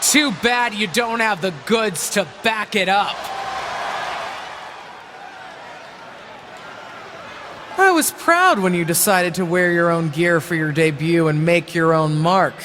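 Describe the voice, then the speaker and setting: A woman's voice: fairly low pitch, a bit bright, a little hiss or hum. A woman playing a bitter, scheming older wrestling legend in a video game, taunting and condescending like a promo. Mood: scornful, wounded pride. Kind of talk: dramatic wrestling promo acting.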